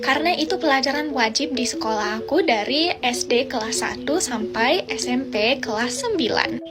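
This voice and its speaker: A woman's voice: high voice, animated, moderate pace. A young woman from Australia chatting casually and fluently as a vlogger. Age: young adult. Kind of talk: casual vlog explanation.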